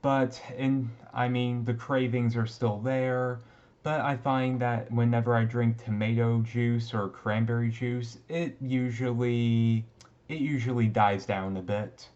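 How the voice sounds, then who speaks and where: A man playing an easygoing, resigned teenage vampire classmate in a casual, confiding ASMR roleplay, talking haltingly. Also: mid-range voice, little pitch movement, darkish tone, lo-fi sound, natural voice. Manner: halting, conversational, mildly resigned. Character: brooding, easygoing male teenage vampire classmate, secretly thirty-seven.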